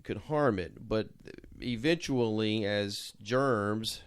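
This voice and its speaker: A man's voice: fairly low pitch, takes it slowly, smooth, no echo. A middle-aged or older man co-hosting a show, chatting casually and often searching for words. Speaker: show co-host. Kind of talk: casual chat.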